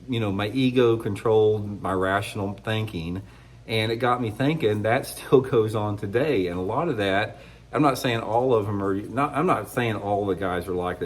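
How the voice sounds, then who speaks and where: Midwestern man, middle-aged, congested, fairly low voice; casual blunt talk to camera with frequent restarts. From United States. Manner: rambling, blunt, restarts often. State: congested from a cold. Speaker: fishing channel host. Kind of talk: casual opinion monologue to camera.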